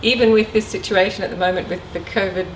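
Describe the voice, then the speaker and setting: A woman's voice: medium pitch, fairly even intonation, fast. A middle-aged woman speaking informally as a guest speaker, giving a career talk and answering questions. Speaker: guest speaker, former air traffic controller. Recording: echoey room, distant mic, clear noise.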